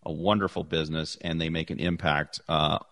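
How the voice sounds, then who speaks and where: A man's voice: a bass voice, flat delivery, brisk, fairly bright tone. A middle-aged man hosting a podcast, chatty and effusive as he introduces his guest. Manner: chatty, effusive, repeats himself. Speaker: podcast host. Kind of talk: casual interview chat.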